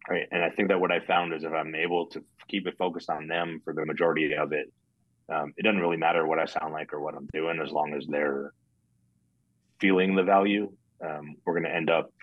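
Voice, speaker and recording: A man, a life insurance agent, giving a guest interview in a plainspoken, monotone way and explaining step by step. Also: very deep voice, fairly quick, no room sound, narrow, tinny audio. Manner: monotone, says so himself. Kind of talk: casual interview talk.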